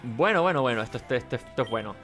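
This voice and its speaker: A man's voice: mid-range voice, expressive, rapid-fire, creaky, fairly bright tone, faint music behind. A man giving casual, rambling let's play commentary, talking to himself. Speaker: gaming host.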